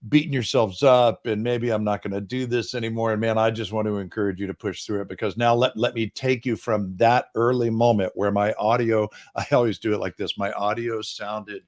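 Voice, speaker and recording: Male, 60s, lowish voice, earnest self-deprecating coach, close boom mic. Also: fairly even intonation, a little fast, hardly any pauses. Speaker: business coach guest. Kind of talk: casual interview chat. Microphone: boom microphone.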